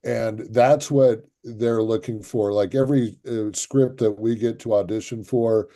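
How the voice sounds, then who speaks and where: A man's voice: lowish voice, steady tone, a little slow, dark tone. A man co-hosting a podcast as a voice actor, talking earnestly and encouragingly in casual chat.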